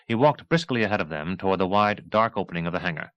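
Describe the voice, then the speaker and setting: Low-pitched man narrating a novel, lively inflection. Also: fast, dry recording, muffled recording. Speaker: audiobook narrator. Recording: 1980s cassette audiobook.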